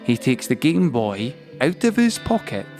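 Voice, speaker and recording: A man narrating a scripted audio play as a storyteller, with deliberate phrasing and dramatic pauses, over a musical score. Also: mid-range voice, big pitch swings, a little mellow, faint music behind.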